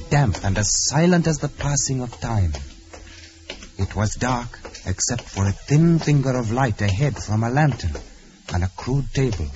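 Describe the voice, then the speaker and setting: An actor playing a devoted, formal manservant who also narrates an old-time radio adventure, earnest and deferential. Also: male voice, medium pitch. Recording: dull old radio recording with music.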